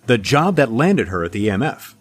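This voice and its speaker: A man's voice: mid-range voice, big pitch swings, fairly quick. An actor playing a fussy, wry male agent with a theatrical storyteller's delivery in an audio comedy drama. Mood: nostalgic, theatrical.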